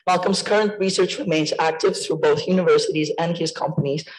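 A woman with a croaky voice, formally introducing a guest speaker as seminar co-chair in a lecture theatre. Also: deep voice, steady tone, brisk, rough recording.

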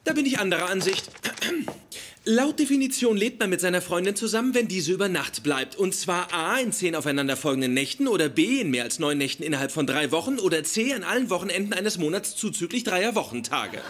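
Fussy pedantic roommate in a sitcom dub, high pitched, very animated delivery. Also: male voice, fairly quick, exaggerated voice. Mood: officious. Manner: pompous, precise, formal wording. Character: pedantic, hypochondriac young male scientist roommate, self-important. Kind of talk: German-dubbed sitcom acting.

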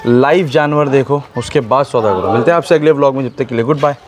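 A man's voice: medium pitch, quick pace, crowd noise. A man vlogging casually at an outdoor livestock farm, chatting and asking the seller one question after another. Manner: chatty, asks many follow-up questions. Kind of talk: casual on-location vlog chat. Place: outdoor goat farm yard.

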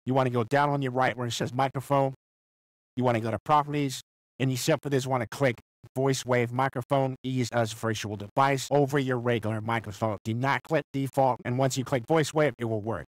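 A young man voicing a goofy cartoon dad through an AI voice changer, giving a software tutorial. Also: mid-range voice, steady tone, a little fast, no room sound, poor audio. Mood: instructional. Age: young adult. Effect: real-time AI voice changer.

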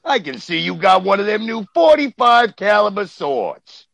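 A man voicing a brash, blustering dragon with a gravelly voice and hammy delivery in a comic radio drama. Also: high-pitched, very animated, normal speed, a bit bright, dry recording, heavily acted. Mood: cocky. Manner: hammy, overacted. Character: brash, blustering fire-breathing dragon, wisecracking crook.

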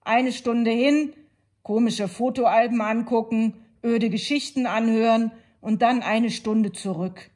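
A woman's voice: fairly high pitch, animated, slow pace, voice pushed up. Woman doing a sulky little girl. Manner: blunt, grumbling lists.

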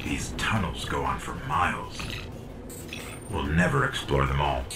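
A man playing a small, watchful robotic AI companion giving expressive mission briefings in a video game. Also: a bass voice, unhurried, heavy background noise. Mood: measured, wary. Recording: loud game music behind.

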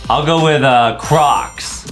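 A man co-hosting a reaction video, throwing out guesses in playful, halting, casual banter with a friend. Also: a little high. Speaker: co-host of a reaction video channel. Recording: loud music bed behind the voice.

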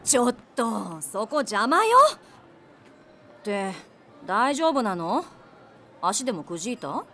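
A brash, bossy snack-bar mama. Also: female voice, on the high side, very animated, slow pace, heavily acted. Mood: brusque. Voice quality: brusque, sharp-tongued. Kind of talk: audio drama acting.

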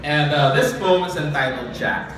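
A young man with a high-pitched voice performs spoken word poetry at a live event. His delivery is earnest and deliberate, shaped by dramatic pauses. The sound is very echoey and picked up from a distance, with clear noise. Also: sing-song. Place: small live open-mic event. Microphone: handheld and stand microphone, heard from a distant camera.

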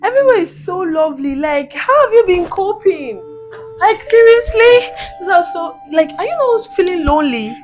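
A woman playing a pushy high-voiced mistress. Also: expressive, normal speed, highs cut off, soft background music. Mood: entitled. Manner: pushy, entitled, says "like" a lot. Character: bold young mistress posing as in-law.

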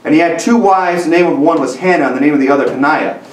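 A man's voice: on the high side, fast. Earnest preacher man in a roomy hall. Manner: earnest, repetitive emphasis with frequent restarts. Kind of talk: sermon. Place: small church room with a lectern. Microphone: camera mic from a distance. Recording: roomy sound from a distant mic.

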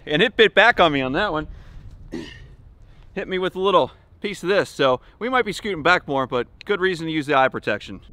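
A man in his thirties with a slightly high voice talks to camera outdoors. He sounds laid-back and wry, chatting informally like the host of a hobby vlog. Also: animated, moderate pace, pushed and bright, slight background noise. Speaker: host of a shooting channel. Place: outdoors at a wooded backyard shooting range.

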